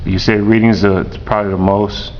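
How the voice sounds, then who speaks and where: A man, a teacher, interviewing a student slowly and repeating each answer back. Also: little pitch movement. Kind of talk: classroom interview. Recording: dull lo-fi recording with rumble.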